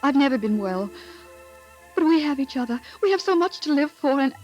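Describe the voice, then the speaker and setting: A woman's voice: high-pitched, lively intonation, fairly quick, a little mellow, dull audio, faint music behind. An actress playing a devoted, romantic girl of nineteen in a 1930s radio drama, wistful and melodramatically earnest. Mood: pleading, devoted. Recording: vintage 1938 radio broadcast recording.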